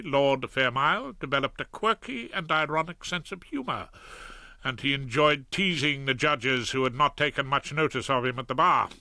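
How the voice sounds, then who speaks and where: An older man narrating a story in the first person with a dry, ironic wit. His voice is bright and lively in pitch, recorded close and dry with no room sound. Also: no echo. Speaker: audiobook narrator.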